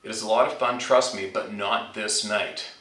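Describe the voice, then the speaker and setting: A man's voice: lowish voice, steady tone, moderate pace, pushed and bright. A man hosting a channel, informally reading out and talking about scary stories sent in by listeners. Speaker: channel host reading out a viewer's submitted story. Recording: roomy echo, distant from mic.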